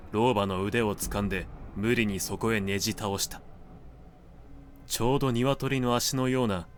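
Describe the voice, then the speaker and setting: Man, lower-pitched, dramatic audiobook narration, deliberate phrasing, background noise. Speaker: narrator, literary reader. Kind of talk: scripted dramatic narration of classic fiction.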